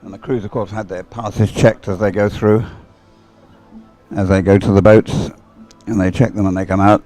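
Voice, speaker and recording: A man's voice: fairly low pitch, level tone, normal speed, mellow, faint music behind. An older man giving live commentary on a boat regatta, chatty and hesitant, often drifting into rambling asides. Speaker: sports commentator, former umpire.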